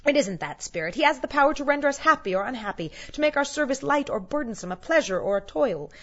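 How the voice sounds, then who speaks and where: A woman's voice: high voice, animated, moderate pace, darkish tone, voice pushed up. Woman as older man, storybook character, agitated, earnest, impassioned, emphatic, slightly dull sound. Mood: heated, earnest.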